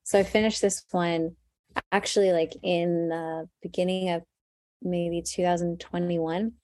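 A woman musician talking in an interview, soft-spoken and thoughtful with many fillers. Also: lowish voice, level tone, unhurried, dry recording. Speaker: musician guest. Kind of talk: casual interview.

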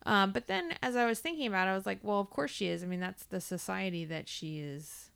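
A woman's voice: medium pitch, brisk, creaky, a bit bright, smooth, no echo. A woman telling personal anecdotes in casual conversation as a podcast co-host, acting out the remarks she quotes.

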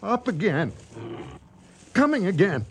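An actor playing an exhausted, frightened lone hunter in a radio drama monologue, muttering in broken, repeated fragments. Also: male voice, sits high, sing-song, takes it slowly, exaggerated voice. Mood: hysterical, desperate. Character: exhausted, terrified lone hunter stalking a lion at night. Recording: noisy old radio drama recording.

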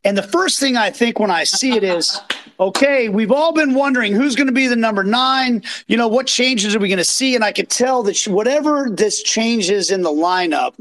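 A hoarse man from Kansas City hosting a live post-match reaction, talking in an excited, rambling, self-deprecating way from a green room backstage. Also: high voice, normal speed, poor audio. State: hoarse from yelling. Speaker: podcast host. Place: green room behind an outdoor stage. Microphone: phone.

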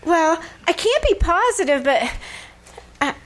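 A woman's voice: very high-pitched, big pitch swings, measured pace, full character voice. A woman playing a nosy, tattling kid sister in a 1940s-style radio comedy. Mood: eager.

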